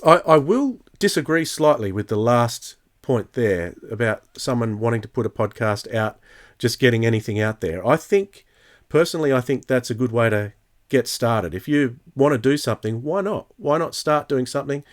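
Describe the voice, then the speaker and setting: Measured man hosting a podcast, medium pitch. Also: animated. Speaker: podcast host. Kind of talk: casual podcast discussion.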